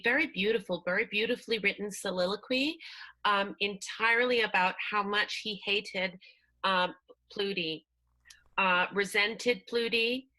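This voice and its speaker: A woman's voice: mid-range voice, sharp tone, lightly acted, rough recording. A woman playing a genteel, self-regarding 1920s film actress, tentative and mock-polite, in an improvised radio comedy.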